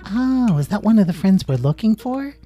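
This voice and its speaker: A storyteller voicing an excitable, cheeky little animal girl who exclaims bluntly in a children's story. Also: mid-range voice, moderate pace, music in the background, pitched above the performer's own voice, natural voice. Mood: excited.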